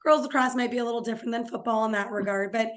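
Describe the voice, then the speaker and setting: A hoarse woman with a fairly high voice recounts a coaching moment in a lively, anecdotal way. She coaches girls' lacrosse and speaks casually. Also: very fast. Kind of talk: casual video chat conversation.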